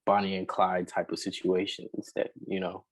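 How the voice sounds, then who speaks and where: A man, a rapper and singer, talking casually in an interview, relaxed and rambling with many fillers. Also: sits low, normal speed.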